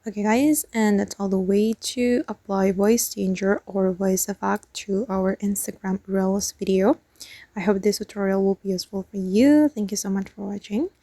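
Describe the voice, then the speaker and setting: A woman's voice: medium pitch, steady tone, moderate pace, dark tone, dry recording. A woman hosting a step-by-step how-to tutorial as a spoken voice-over. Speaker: tutorial host.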